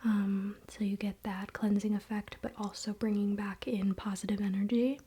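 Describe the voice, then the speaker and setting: A woman whispering softly in a soothing, relaxed and informal way, as an ASMR host. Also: medium pitch, moderate pace, slightly rough. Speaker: ASMR video host and spiritual practitioner. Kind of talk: soft-spoken whispered ASMR.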